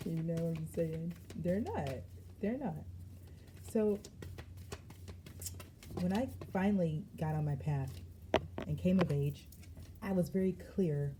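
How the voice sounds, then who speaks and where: Woman tarot reader, low dark voice, slangy and emphatic, faint background noise. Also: poor audio. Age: adult. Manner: lively, slangy and emphatic, with frequent laughs. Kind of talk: casual spiritual reading vlog.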